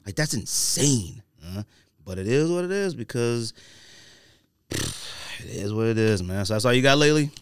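A man's voice: mid-range voice, expressive, normal speed, long pauses, clean tone, dry recording. A middle-aged American man chats casually on a podcast in a mid-range voice. His talk is slangy and emphatic, leaning on repetition. The sound is dry and close, with very little room. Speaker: podcast host. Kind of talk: casual chat about sneakers. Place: close-miked podcast studio.

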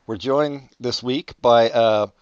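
A man's voice: mid-range voice, takes it slowly, darkish tone, clean tone, no echo, dull audio. A man in his forties hosting a podcast, chatting casually with dry, wry teasing. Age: 40s. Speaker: podcast host. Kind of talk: casual chat.

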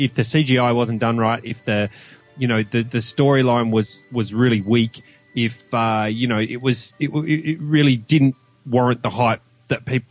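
A man with an Australian accent giving his views as a guest in a casual film review chat. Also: medium pitch. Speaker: podcast guest.

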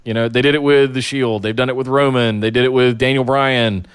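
A man co-hosting a casual podcast, sharing opinions in a rambling way and often restarting his sentences. His voice sits in a middle pitch and comes through smooth and resonant. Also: steady tone, a little fast. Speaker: podcast co-host. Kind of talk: casual wrestling podcast chat.

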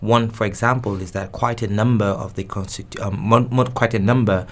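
A man's voice: on the low side, fairly even intonation, brisk. A man whose first language is Yoruba, answering interview questions thoughtfully with frequent restarts and repeated words. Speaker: guest expert.

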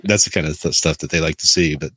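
A man's voice: rumbling low, steady tone, fast, bright, forceful tone. A man speaking as a podcast co-host in casual conversation, explaining things through anecdotes. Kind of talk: casual panel chat.

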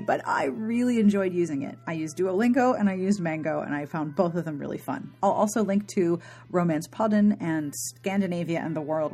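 Chatty lady podcaster doing a casual outro, slightly husky voice, music under her, dry humor. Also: mid-range voice, dark tone. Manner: chatty, wry, informal. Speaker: podcast host.

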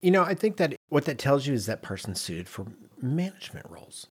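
A man's voice: medium pitch, sing-song, fairly quick, breathy edge. A middle-aged man hosting a podcast in casual discussion, acting out little imagined quotes as he explains. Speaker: podcast host.

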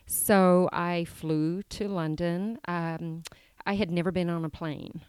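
A New Zealand woman in her fifties, a podcast guest chatting casually about her life, hesitant with many pauses and easy laughs. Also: lowish voice, steady tone, darkish tone, clean tone, no echo. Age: 50s. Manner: hesitant, lots of ums and restarts, laughs easily. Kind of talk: casual interview chat.

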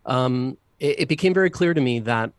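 A man's voice: mid-range voice, animated, a little fast, dry recording, studio quality. A man from the United States, a deacon and interview guest, telling his life story thoughtfully, with frequent fillers. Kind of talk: reflective personal storytelling.

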